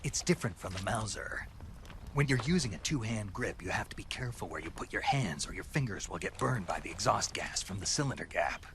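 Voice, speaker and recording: An actor playing a terse, gruff soldier in a game cutscene. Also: male voice, lowish voice, lively intonation, fairly quick, fairly bright tone, a little hiss or hum. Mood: instructive.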